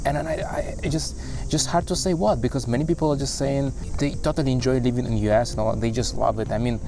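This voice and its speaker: A man's voice: mid-range voice, noisy background. A man in his thirties from Russia, speaking English with a Russian accent, rambling casually to the camera with many fillers and restarts. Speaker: vlogger. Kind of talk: casual vlog monologue. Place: street in a city.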